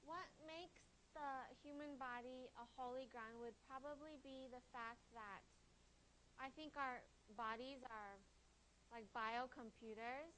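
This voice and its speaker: A young woman in her twenties speaks as an interview guest in a fairly high, clearly bright voice. Her answers are conversational, and a little noise sits in the background. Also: measured pace, rough recording. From California, Taiwan and Shanghai. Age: 20s. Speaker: guest, holistic health coach.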